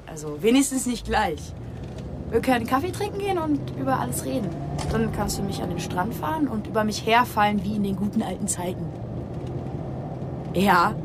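A woman's voice: mid-range voice, lively intonation, normal speed, pauses often, lightly acted. A young woman playing a brash, flippant, slangy teenage girl in a radio drama. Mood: flippant, teasing. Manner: slangy, teasing. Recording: clear car noise behind voice. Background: vehicle ambience.

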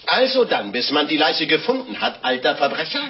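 An actor playing a mockingly gallant official with ceremonious, sly flattery in an old radio play. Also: male voice, fairly high pitch, fairly quick. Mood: mock gallant. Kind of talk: 1950s German radio play acting. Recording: slightly noisy, muffled old radio recording.

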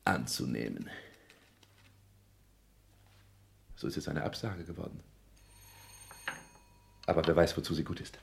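An actor playing a driven, domineering adult son in a German radio play, declaiming in a clipped, commanding manner. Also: male voice, on the low side, gravelly, a little mellow, heavily acted. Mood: pondering, pompous. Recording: roomy 1960s radio studio sound.

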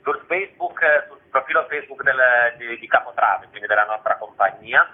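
A man giving a spontaneous interview as a theatre company member, explaining in a halting way with repeated words. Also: medium pitch, animated, slightly rough. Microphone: telephone. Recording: muffled, tinny phone line.

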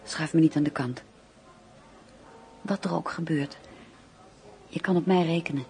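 A woman's voice: low-pitched, measured pace, a little mellow. A woman acting in a radio play as a loyal, troubled confidante, speaking in an earnest, hesitant way. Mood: earnest, concerned.

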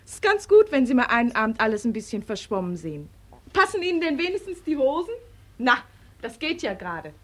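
High-voiced lonely young wife. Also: female voice, sing-song, a little fast. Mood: playful mockery. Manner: theatrical, swooping between teasing and pleading. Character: lonely soldier's wife, teasing, tender and pleading. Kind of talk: 1940s German radio drama acting. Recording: old 1940s radio studio recording.

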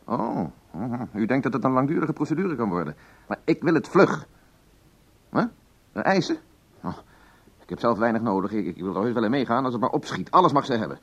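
An actor playing an impatient, bitter husband, clipped and insistent, in a dull-sounding radio play. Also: male voice, medium pitch, very animated, a little fast, fairly bright tone, breathy edge, heavily acted. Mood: bitter impatience. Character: impatient, bitter husband pressing his lawyer for divorce. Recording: dull, lo-fi radio drama recording.

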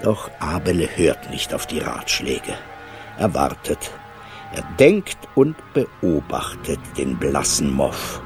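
A man narrating a radio play as a storyteller, deliberate, pausing phrase by phrase. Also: a bass voice, sing-song, a little mellow, soft background music, exaggerated voice.

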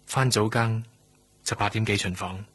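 Guarded young trainee doctor, low-pitched. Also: male voice, fairly even intonation, normal speed, a bit bright, husky, no echo. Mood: guarded, terse. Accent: Hong Kong Cantonese. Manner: guarded, terse answers, defensive explanations. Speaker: actor. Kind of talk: Cantonese radio drama acting.

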